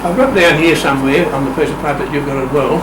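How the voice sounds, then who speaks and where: An older Australian man with a slightly high voice talks conversationally, dry and wry as an interview guest. He is picked up from a distance, with a clear hum in the background. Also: fast talker. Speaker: interview guest, sailing official. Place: indoor room, radio shack. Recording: roomy, distant mic, steady hum.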